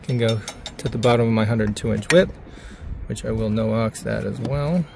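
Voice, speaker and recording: A man's voice: medium pitch, moderate pace, creaky, noisy background. A man giving a casual, plainspoken hands-on how-to narration as he works on a project. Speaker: DIY video creator.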